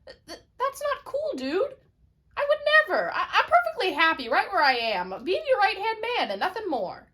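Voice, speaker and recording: Girl doing a nervous royal right-hand man, stammery, high and all over the place, comic puppet show. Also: sing-song, moderate pace, bright, forceful tone, exaggerated voice. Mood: flustered protest. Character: nervous, defensive royal brother-in-law, a man.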